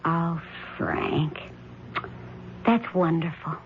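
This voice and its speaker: Gently doubting wife, muffled radio sound. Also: female voice, deep voice, extremely slow, exaggerated voice. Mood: gentle, concerned. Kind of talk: radio drama acting, domestic dialogue. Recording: dull lo-fi radio recording, faint noise.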